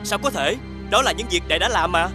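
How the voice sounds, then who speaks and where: An upright young folk hero in a cartoon fairy tale. His voice is high and earnest, honest and forgiving, set against loud music. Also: animated, quick pace. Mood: earnest protest. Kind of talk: animated fairy tale acting.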